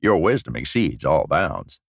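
A man's voice: rumbling low, very animated, a little slow, creaky, no room sound, clean studio sound, voice pushed down, heavily acted. A man voicing a naughty old prisoner in a comic audiobook, obsequious, sly and suggestive. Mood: fawning. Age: older.

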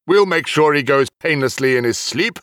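A narrator doing a formal, high-pitched celestial official. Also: lively intonation, moderate pace, a bit bright, no room sound, studio quality, close to an everyday voice. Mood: blunt. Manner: formal, admonishing. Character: stern, officious male celestial official, softening to kindly.

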